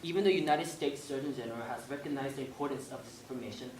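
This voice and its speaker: A man's voice: mid-range voice, quick pace, darkish tone. A man delivering scripted, informational narration on stage. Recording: echoey room, distant mic, faint noise.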